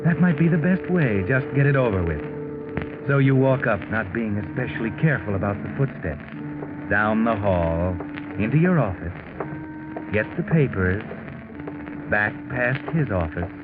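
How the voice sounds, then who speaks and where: A man's voice: fairly low pitch, expressive, normal speed, narrow, tinny audio, close to an everyday voice. An actor playing a knowing, mocking narrator in 1940s radio mystery style, insinuating as he addresses a guilty man. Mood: sardonic, ominous. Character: sardonic, knowing, all-seeing mystery narrator addressing the culprit. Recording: band-limited 1940s radio with music behind.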